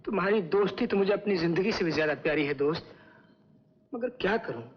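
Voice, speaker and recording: An actor playing an anguished, secretive brother and loyal friend in an old Hindi film melodrama, brooding and theatrical. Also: male voice, high voice, fast, natural voice. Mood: anguished, resigned. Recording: dull, lo-fi old film soundtrack, hissy.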